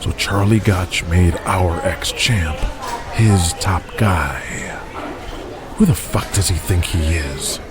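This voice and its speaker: A man's voice: sits low, takes it slowly, over loud music. A man voicing an arrogant, irritable wrestling promoter in an audio drama, curt and commanding. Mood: irritated, scornful.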